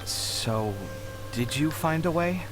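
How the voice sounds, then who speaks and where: A man's voice: a little high, expressive, slow pace. A man playing an earnest, troubled young scholar in a video game, questioning with an urgent manner. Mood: wary, puzzled. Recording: loud game music behind.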